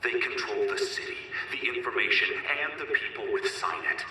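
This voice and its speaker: A scornful revolutionary leader in a game cutscene. The declamatory voice is sharp and intense, booming from afar over a broadcast system. It rings with heavy echo as the leader proclaims to the masses. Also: male voice, moderate pace. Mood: scornful, commanding. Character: defiant rebel military leader broadcasting a proclamation to citizens. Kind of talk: dramatic public address in a video game. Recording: echoing, distant broadcast with faint rumbling noise.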